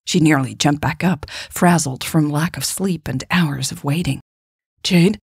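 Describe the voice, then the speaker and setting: Low, creaky-voiced woman narrating fiction. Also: normal speed, dry recording, clean studio sound. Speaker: podcast host and author narrating her story.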